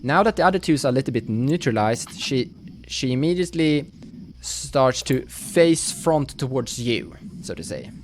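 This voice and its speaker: A man running a tabletop roleplaying game, narrating the action off the cuff in a halting, thinking-aloud way. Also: mid-range voice, expressive. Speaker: game master. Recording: faint noise, live stream audio.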